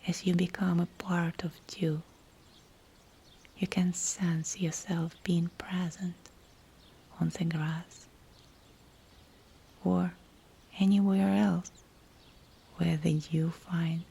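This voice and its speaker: A woman guiding a scripted visualization meditation in a breathy, soothing whisper, with hushed phrases and pauses between them. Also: on the low side, level tone, a little hiss or hum. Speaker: meditation guide.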